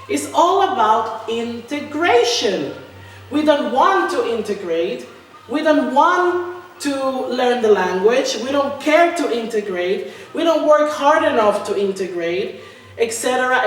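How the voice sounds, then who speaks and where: A woman's voice: high voice, big pitch swings, measured pace. A woman reading a spoken-word political manifesto with emphatic, sarcastic delivery and repeated refrains. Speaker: writer reading own spoken-word text. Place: large reverberant indoor art venue. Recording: echoey hall from far off, faint noise.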